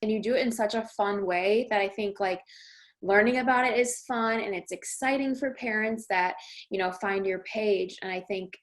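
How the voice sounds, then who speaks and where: A woman's voice: mid-range voice, moderate pace. A woman hosting a podcast interview, talking in an upbeat, chatty way. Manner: upbeat, chatty, many fillers like "like". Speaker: podcast host.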